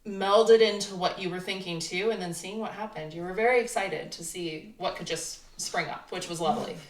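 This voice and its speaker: Lowish-voiced woman, distant and roomy. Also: a little fast. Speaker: cast member on a panel. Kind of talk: casual chat. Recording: echoey room, distant mic.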